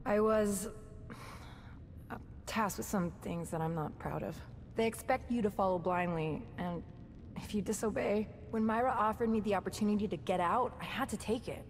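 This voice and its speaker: Reverberant, mid-pitched tough female agent, wry and candid game character female actor. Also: normal speed, a little hiss or hum, natural voice. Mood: regretful. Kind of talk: video game voice acting.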